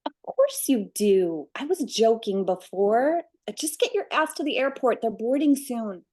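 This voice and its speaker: A woman plays a pushy, needy young beauty queen in a script read. The voice is raspy and fairly high, with lively swings. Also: unhurried. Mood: impatient. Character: bossy, needy young female pageant champion. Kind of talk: screenplay table read acting.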